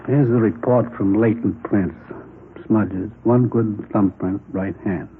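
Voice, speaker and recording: An actor playing a matter-of-fact crime lab technician in a 1950s radio police drama, speaking methodically and clinically. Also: male voice, fairly low pitch, steady tone, slow. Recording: noisy, tinny vintage radio recording.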